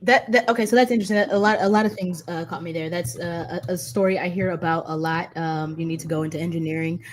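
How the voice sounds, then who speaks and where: A woman's voice: on the low side, steady tone, quick pace, slight background noise. A woman hosting a casual interview, chatty and informal, circling back over her phrases as she talks. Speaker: interview host.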